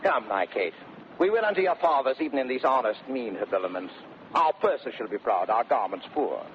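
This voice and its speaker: A man's voice: medium pitch, very animated, brisk, close to an everyday voice. Brief: male actor as a wilful, mocking husband, declamatory and swooping, on a thin, noisy 1930s radio broadcast. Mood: grandly cheerful. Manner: theatrical, declamatory, commanding. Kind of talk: 1930s radio Shakespeare acting. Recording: narrow, noisy vintage 1930s radio recording.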